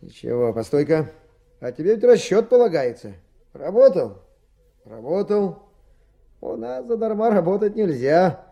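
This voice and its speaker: Kindly work crew boss, radio drama, mellow, slightly high voice, plain folksy fatherly talk. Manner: brisk, fatherly, colloquial. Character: kindly, practical foreman of a rafting crew. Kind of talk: 1970s radio play acting.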